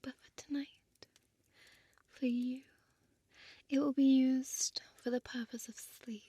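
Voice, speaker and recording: Woman performing a possessive vampire seductress, hushed hypnotic whisper, mellow tone, fairly even pitch, audio roleplay. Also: no echo, full character voice. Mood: teasing, seductive. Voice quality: breathy, soft whisper. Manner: slow, hypnotic, coaxing, deliberate pauses. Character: seductive female vampire innkeeper, possessive and commanding.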